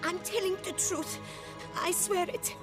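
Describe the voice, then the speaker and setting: A woman's voice: extremely high pitch, steady tone, a little slow, over music. An actress playing a frightened little fairytale boy in a game, timid, stammering and pleading.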